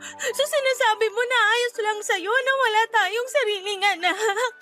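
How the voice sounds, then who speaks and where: A woman's voice: very high voice, a little slow, bright, forceful tone, soft background music, exaggerated voice. A woman playing an anguished, fearful wife who longs for a baby, speaking in a tearful, pleading voice in a radio drama. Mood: bitter, tearful. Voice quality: tearful, sobbing voice. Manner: pleading, lamenting. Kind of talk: Tagalog radio drama acting.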